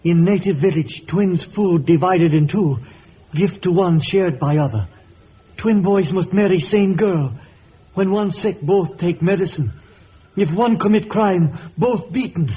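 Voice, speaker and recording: High-voiced frightened African villager. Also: male voice, warm tone, breathy edge. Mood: grave explaining. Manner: halting pidgin English with dropped words. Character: frightened African villager, a new father resigned to a curse. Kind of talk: vintage radio adventure serial acting. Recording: dull, lightly noisy old radio recording.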